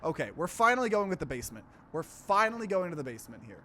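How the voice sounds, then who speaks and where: A man's voice: sits high, sing-song, fast, sharp tone. A man hosting a let's play, reading game narration aloud and adding his own commentary.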